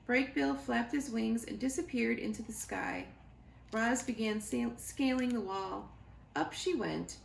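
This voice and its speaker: A woman storyteller giving an expressive read-aloud of a children's book. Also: medium pitch, gravelly. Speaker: library storyteller. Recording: roomy echo, faint background noise.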